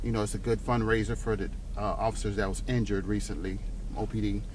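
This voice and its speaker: A man's voice: fairly low pitch, steady tone, fast talker, noisy background. A middle-aged man from South Florida, a comedian and corrections officer, chatting casually in an interview and often saying "you know what I mean". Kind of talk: casual interview in a patrol car. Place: inside a moving car.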